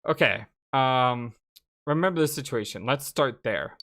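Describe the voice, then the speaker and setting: Animated woman with a low-pitched voice. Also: a little slow. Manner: animated, chatty. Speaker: let's play host reading the game aloud. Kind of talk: casual gaming commentary and dramatic read-aloud.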